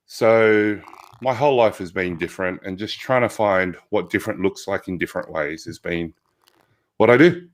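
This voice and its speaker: A man with an Australian accent, a physical therapist telling stories as an interview guest, loud and reflective, often restarting his sentences. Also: low voice, moderate pace. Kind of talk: conversational interview.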